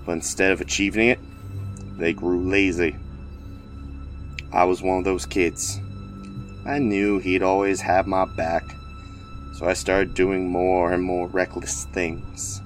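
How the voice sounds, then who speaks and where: A man playing a weird, smugly menacing city mayor in an audio drama, speaking in a grandiose, speechifying way. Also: low-pitched.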